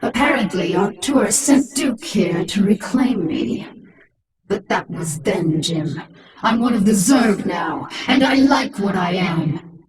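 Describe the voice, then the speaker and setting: A woman's voice: mid-range voice, expressive, slow pace, far from the mic. A woman playing a proud, menacing human-alien warrior queen in a video game, commanding, cold and self-assured, with an echoing effect on her voice. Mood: proud, cool. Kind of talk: dramatic video game voice acting.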